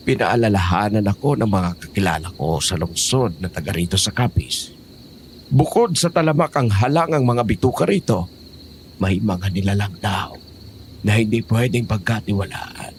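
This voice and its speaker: A man's voice: on the low side, a little hiss or hum. A man playing a teasing, protective old grandfather in an audio horror drama. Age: older. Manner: jokey, fond, cautioning.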